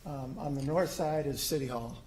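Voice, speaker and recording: A man's voice: on the high side, normal speed, background noise. A middle-aged man presenting his own plans to a city commission, informal and hesitant with many ums and restarts. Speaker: homeowner applicant at a city commission. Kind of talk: informal presentation at a public meeting. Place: city hall meeting room.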